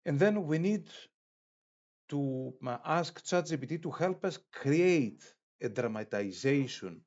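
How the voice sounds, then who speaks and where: A middle-aged man giving an instructional demonstration as an online course lecturer, speaking in a halting, deliberate way with frequent hesitations. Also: medium pitch, a little mellow. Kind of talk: instructional screen demonstration, unscripted. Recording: dull, muffled lo-fi sound.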